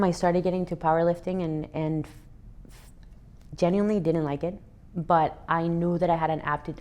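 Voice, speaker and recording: Low-voiced woman recounting her story. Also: darkish tone. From Venezuela. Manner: earnest, reflective, frequent restarts. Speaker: interview guest, athlete and fitness educator. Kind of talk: relaxed interview answers.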